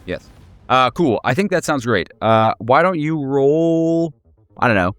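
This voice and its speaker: A man narrating a tabletop game as game master in a casual, halting, wryly playful way, often searching for words. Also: medium pitch, expressive, smooth, dry recording, faint music behind. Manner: wry, playful, slangy.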